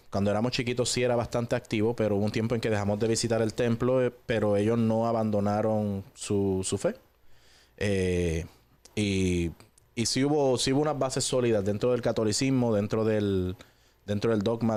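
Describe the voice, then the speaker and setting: Puerto Rican male guest, lowish voice, unhurried conversational testimony with hesitant pauses. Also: darkish tone. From Puerto Rico. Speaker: guest giving a personal testimony. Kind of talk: relaxed conversational storytelling.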